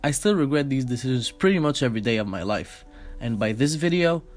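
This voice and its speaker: A man's voice: mid-range voice, expressive, a little fast, faint music behind, lightly acted. A man playing a regretful ruined gambler. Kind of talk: scripted monologue.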